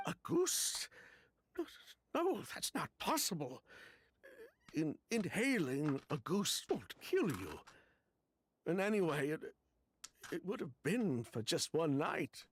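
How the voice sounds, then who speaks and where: An anxious man from a video game, guarded and defensive. He speaks slowly and haltingly, with lively swings in pitch, over faint background noise. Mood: defensive, flustered. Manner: halting, stammering. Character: anxious, defensive man hiding a family secret.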